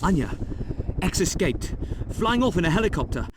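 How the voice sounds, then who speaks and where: A man's voice: high voice, very animated, moderate pace. A man acting as a terse, confident commando in a game cutscene, talking from the field. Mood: tense, reporting. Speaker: field agent. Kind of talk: scripted game cutscene dialogue. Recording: very noisy outdoor action cutscene. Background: loud helicopter and vehicle noise.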